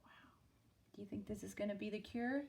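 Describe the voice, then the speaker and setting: A woman's voice: mid-range voice, a little fast, creaky, a little mellow. A woman teacher reading a picture book aloud expressively, talking simply as if to a young child. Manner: explains and asks in simple child-directed words.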